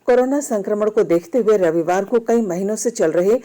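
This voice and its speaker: A woman newsreader delivers a scripted radio bulletin in a formal, measured way. Her voice is mellow and relaxed, sitting at a medium pitch. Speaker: news reader.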